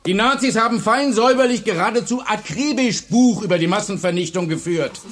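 An actor playing an earnest, patient history teacher in a radio drama, explaining emphatically to a class. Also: male voice, sits high, fairly quick, fairly bright tone. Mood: insistent. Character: male high school history teacher, earnest, patient, engaged. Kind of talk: German radio drama acting.

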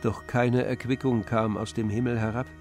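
Guy narrating a literary radio play, composed, medium pitch, soft music behind him. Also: flat delivery, moderate pace.

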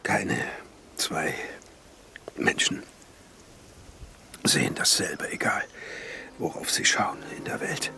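An actor playing a brooding, philosophical court alchemist in a radio drama, with a husky, breathy voice and formal old-fashioned phrasing. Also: male voice, low voice, expressive, takes it slowly, pauses often, bright, forceful tone, in a whisper, full character voice.

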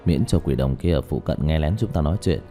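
A man playing a calm, matter-of-fact young male exorcist in an audiobook, speaking in a low-key, measured way. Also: faint music behind. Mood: calm, explaining. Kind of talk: audiobook character dialogue.

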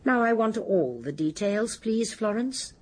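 An actress playing a shrewd, genteel elderly English spinster sleuth in a radio drama, precise and politely firm. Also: female voice, a little high, lively intonation, unhurried. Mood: gently firm. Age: older. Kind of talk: radio drama acting and narration.

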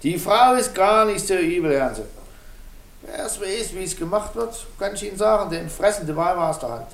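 An actor playing a smug, gossipy schemer in a radio drama, with a breezy, knowing way of talking. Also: male voice, high voice, moderate pace, fairly bright tone, roomy sound. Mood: smug amusement. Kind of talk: naturalistic radio drama acting.

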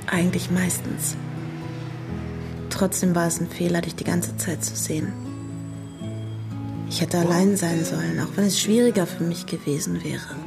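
Pensive lover with creaky voice. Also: female voice, fairly low pitch. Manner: pensive, candid. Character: pensive, candid woman in a bittersweet love affair. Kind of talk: intimate naturalistic radio drama dialogue. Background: music.

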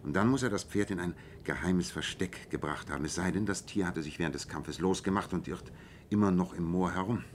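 A low-pitched, self-assured detective played by a man in a radio play. He lays out his reasoning in a measured, precise way, over a bed of light background noise. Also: natural voice. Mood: analytical, assured. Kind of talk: German radio play dialogue.

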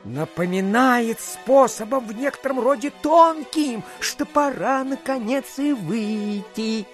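An actor playing a chatty provincial official who spins a folksy, rambling tale in an audio play, full of filler phrases. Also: male voice, very high-pitched, expressive, takes it slowly, faint music behind, full character voice. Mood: mock-grave, indignant. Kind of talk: theatrical storytelling in an audio play.

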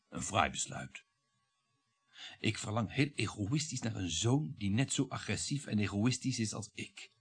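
An actor playing a bitter, sardonic French bourgeois husband in a radio play, declaiming with the odd halting hesitation. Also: male voice, lowish voice, gravelly, slightly rough, heavily acted. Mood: brooding, wistful.

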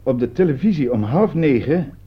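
An actor playing a stern, sarcastic police interrogator with a curt, probing delivery in a radio play. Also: male voice, medium pitch, animated, moderate pace, husky. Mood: pointed, accusing. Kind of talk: Dutch radio drama dialogue. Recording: dull, band-limited old radio recording.

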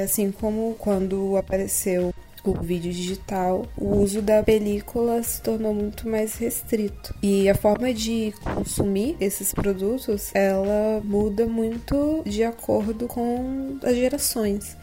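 A woman's voice: mid-range voice, warm tone. A Brazilian woman giving informal commentary, laying out her reasoning in an explanatory way. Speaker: podcast commentator.

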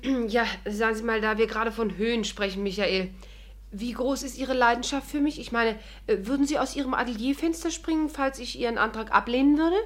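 A woman's voice: on the high side, fairly quick, close to an everyday voice. An actress in a 1950s German radio play playing a witty, resolute woman who speaks with poised, dry irony. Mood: dryly teasing. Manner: poised, dryly ironic. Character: witty, resolute, worldly woman amateur adventurer and narrator.

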